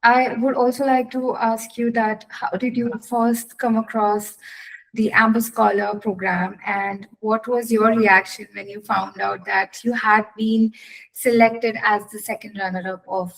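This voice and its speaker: A woman hosting a formal interview, reading prepared introductions and questions in a measured way. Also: a little high, little pitch movement, gravelly, poor audio. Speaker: interview host.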